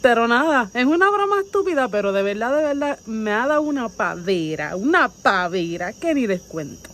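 A woman's voice: a little high, very animated, normal speed, fairly bright tone. A woman in her thirties with a Puerto Rican accent chats casually and playfully in slang, outdoors into a phone. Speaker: vlogger making prank calls. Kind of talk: casual chat to camera and on video calls. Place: outdoor porch at night. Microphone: phone at close range. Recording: noisy outdoor night recording with insects.

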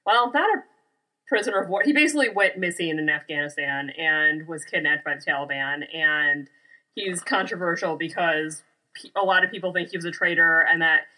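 A woman talks casually as a podcast co-host. Her voice sits a little low for a woman, with a bright, crisp edge. The delivery is conversational and unscripted. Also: fairly quick, poor audio. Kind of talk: casual chat.

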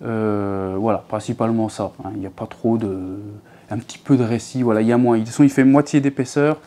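A man's voice: fairly low pitch, fairly even intonation, fairly quick, warm tone. A man in his thirties hosting a fishing channel, chatting casually to viewers from an armchair at home, rambling with many fillers and restarts. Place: living room at home, seated in an armchair.

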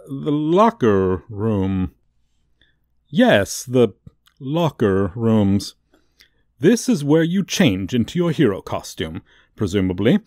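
Man playing a slow, theatrical, sardonic tour guide. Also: mid-range voice, a little mellow, no echo, exaggerated voice. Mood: wry, mock-polite. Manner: showy, drawn-out emphasis, dry asides. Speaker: voice actor. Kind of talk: comedic ASMR roleplay monologue.

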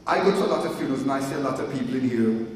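A man's voice: mid-range voice, rapid-fire, a little hiss or hum. A man in his fifties leading a funeral service as pastor, telling stories and teasing the congregation with good humor. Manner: jovial storytelling, teasing the congregation. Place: large church hall with congregation. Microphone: microphone on a stand at the pulpit. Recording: echoey hall, mic from a distance, music.

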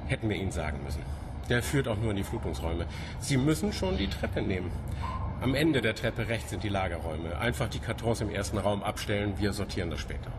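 An actor playing a sea-loving institute employee, explaining things reverently in a radio drama. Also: male voice, fairly low pitch, sing-song, fairly quick. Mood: matter-of-fact. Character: sea-enraptured male marine institute employee showing someone around. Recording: loud ambient noise behind.

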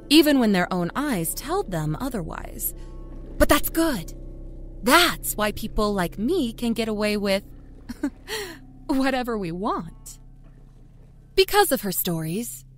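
A woman playing a sly, folksy small-town Southern woman, a self-confessed liar confiding her dark life story in a wry dramatic narration. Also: mid-range voice. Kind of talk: dramatic first-person horror story narration. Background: musical score.

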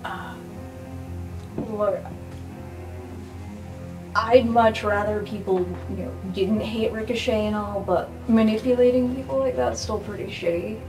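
Female tabletop player: mid-pitched, casual roleplay, music clearly behind. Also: unhurried, long pauses, poor audio. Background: background music.

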